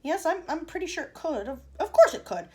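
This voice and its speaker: A woman's voice: sits high, big pitch swings, a little fast, pitched above the performer's own voice. A woman voicing an eccentric, fussy male chocolatier who stammers theatrically.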